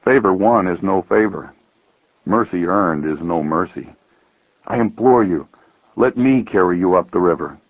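Man playing a calm eldest brother, patient and merciful, lowish pitch, measured gentle delivery, storybook parable, slightly dull recording. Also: dry recording, heavily acted. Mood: imploring.

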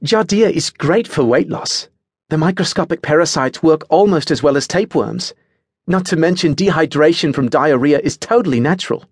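A man voicing a bright, oblivious young woman in an audiobook story, chatty, precise and matter-of-fact. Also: fairly high pitch, animated, moderate pace, husky, no room sound, clean studio sound, voice pushed up. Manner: cheerful, precise, lecturing, unruffled.

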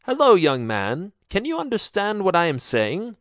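Brief: kindly older village mother, soft and raised, man reading. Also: sits high, huge pitch swings, moderate pace, no room sound, clean studio sound, pitched above the performer's own voice, full character voice.

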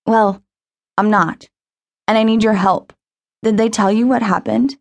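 A woman's voice: fairly high pitch, fairly even intonation, unhurried, darkish tone. A woman voicing a grieving teenage girl who speaks in a pleading, urgent way. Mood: earnest.

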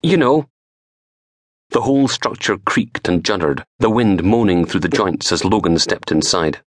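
A middle-aged man reading a crime novel aloud in a dramatic, measured storytelling manner. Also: deep voice, no room sound, clean studio sound. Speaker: author reading own audiobook. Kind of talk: scripted crime fiction narration.

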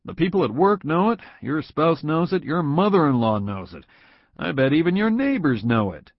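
A smiling, direct boss lays down hard truths in a storybook reading, as a man acts him out. His voice is lifted and pushed forward with lively swings. The audio is dry and somewhat dull. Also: on the high side, normal speed, no room sound, pitched above the performer's own voice. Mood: assured. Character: confident smiling male boss, direct and warm.

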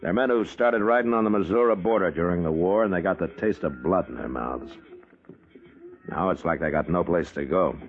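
An actor playing a stern, steady U.S. marshal in a 1950s radio western, terse and deliberate. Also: male voice, low voice. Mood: grim, firm. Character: stern, steady U.S. marshal in the Old West, middle-aged man. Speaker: lead actor and narrator. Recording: muffled vintage radio recording with music.

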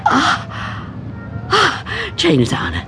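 A fearful, suspicious old woman who has shut herself away, in a radio drama. Her voice swoops sharply between notes at a slow, halting pace, and she frets and repeats herself. Music plays clearly behind her. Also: very high-pitched, huge pitch swings, full character voice. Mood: anxious muttering.

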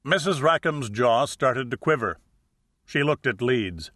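A male audiobook narrator reads a first-person detective story. His voice is bright and forward, and the recording is dry and close. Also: medium pitch, animated, measured pace, dry recording.

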